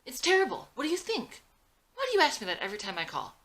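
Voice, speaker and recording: A woman voicing a neurotic, worn-out mother in her forties, quick, snappish and urgent, in a dramatic script reading. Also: sits high, huge pitch swings, a bit bright, voice pushed up, full character voice. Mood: irritated, urgent.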